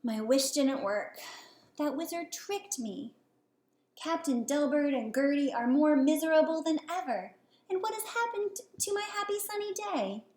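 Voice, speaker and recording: A jumpy young bullfrog in a read-aloud storybook, with a high, squeaky voice that gets eager and fretful, as a woman puts him on. The sound is roomy with noticeable echo. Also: expressive, a little slow, darkish tone. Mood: downcast. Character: excitable young male bullfrog.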